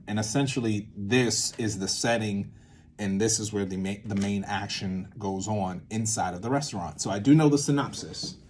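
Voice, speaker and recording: Low-voiced man thinking aloud, noisy recording. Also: darkish tone. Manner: playful, rambling, thinking aloud with asides to self. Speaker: theatre maker presenting a creative method series. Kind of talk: informal improvised tutorial talk.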